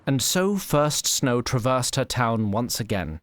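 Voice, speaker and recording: Animated male storybook narrator. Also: mid-range voice, slow, no room sound.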